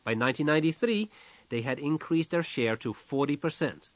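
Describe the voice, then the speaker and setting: A man reading a business audiobook from a script in a measured, businesslike way, as its author. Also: fairly high pitch, no echo.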